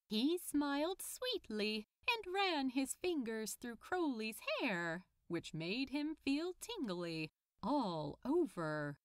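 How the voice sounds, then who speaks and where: High, animated woman narrator. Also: takes it slowly, clean tone, dry recording, studio quality. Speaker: audiobook narrator. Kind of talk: expressive scripted fan fiction narration.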